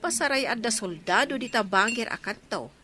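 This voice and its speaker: A woman's voice: on the high side, lively intonation, normal speed, background noise, lightly acted. A woman playing a local recalling past times in conversational Ilocano audio drama dialogue. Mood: reminiscing.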